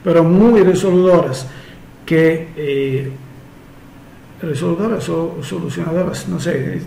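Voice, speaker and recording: A man who is not a native Spanish speaker, talking slowly and hesitantly as a therapist answering follower questions, with frequent fillers. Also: on the high side, gravelly, warm tone, echoey room, poor audio. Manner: slow and measured, many hesitations and fillers. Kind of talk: casual solo Q&A monologue.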